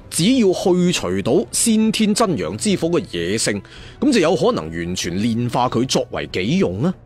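A male Cantonese storyteller narrates a fantasy serial in a very lively, swinging delivery. He is theatrical, slipping in chatty asides and leaning on his endings. Faint to clear music plays behind him. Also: mid-range voice. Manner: theatrical, conversational asides, drawn-out emphatic endings.

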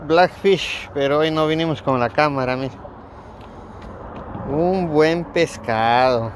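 Noisy outdoor phone recording; male amateur fishing vlogger, casual, unhurried delivery. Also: fairly high pitch. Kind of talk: casual narration while filming. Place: outdoors on a concrete bridge over water. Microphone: handheld phone. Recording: loud outdoor wind and traffic noise.

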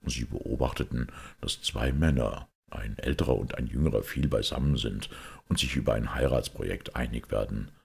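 A man voicing a grave, thoughtful priest detective who explains things in a measured, sombre way in an audiobook. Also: extremely low pitch, vocal fry, slightly rough, exaggerated voice. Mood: explaining. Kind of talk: audiobook character acting.

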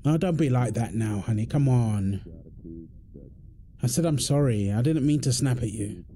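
A man's voice: mid-range voice, animated, normal speed, dark tone, natural voice. A man playing a tired, irritable firefighter husband in an intimate roleplay audio drama. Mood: weary, apologetic. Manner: weary sighs, snappy, then apologetic.

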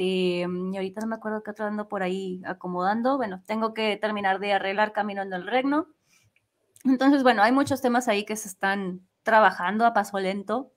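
A woman, chatting casually and a bit ramblingly as an interviewed fandub singer. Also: mid-range voice. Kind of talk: casual live interview chat.

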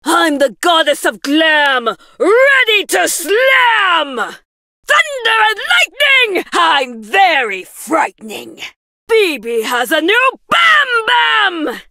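A woman's voice: extremely high pitch, sing-song, extremely slow, pushed and bright, no room sound, studio quality, heavily acted. A woman voicing a brash, boastful young thunder goddess for a video game, throwing out fierce, theatrical battle one-liners. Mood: cocky, boastful. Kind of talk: video game character voice lines.

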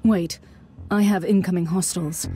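A woman's voice: on the low side, steady tone, normal speed, over music, lightly acted. A woman voicing a stern, devout warrior nun inquisitor in a video game, resolute and commanding. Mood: composed, resolute.

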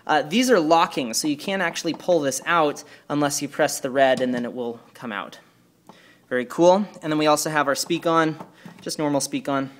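A man presenting equipment in an informal hands-on walkthrough, speaking hesitantly and pausing mid-sentence. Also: a little high. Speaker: presenter demonstrating church sound equipment. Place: carpeted indoor room. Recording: clear background noise, handheld camera mic.

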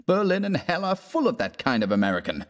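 A man's voice: lowish voice, normal speed, close to an everyday voice. Man acting a sneering, combative young American, echoing. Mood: scornful anger. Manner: forceful, sneering, growling threats. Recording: long reverberant room echo.

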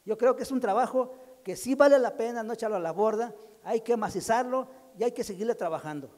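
An older man speaks into a handheld microphone to a crowded community hall, his voice pitched very high. He talks with insistence and repeats key phrases for emphasis. The sound carries a long reverb from the large room. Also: fairly quick, darkish tone. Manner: emphatic, repeats phrases, gestures with raised hand. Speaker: community speaker at a public consultation. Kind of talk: impassioned public address. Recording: echoey hall over a PA.

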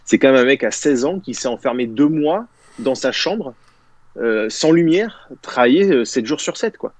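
A man's voice: medium pitch, animated, moderate pace, no room sound. Rambling man on a dry video call. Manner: rambling, many fillers and restarts, gesturing with hands. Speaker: guest. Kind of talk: casual video-call discussion. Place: small room indoors. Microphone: video call, webcam.

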